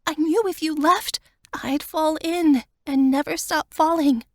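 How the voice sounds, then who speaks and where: A woman's voice: high-pitched, steady tone, unhurried, no room sound, studio quality, pitched above the performer's own voice. A woman voicing Wanda, a tearful and devoted young woman who pleads emotionally with her friend, her voice lifted well above the narrator's own. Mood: tearful pleading.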